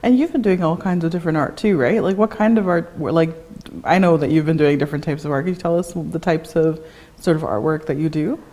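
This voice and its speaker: A woman with a very low-pitched voice hosts a talk show interview. She rambles loosely, repeating phrases and leaning on fillers as she sets up questions for her guests. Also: fast, dark tone. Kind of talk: casual interview hosting.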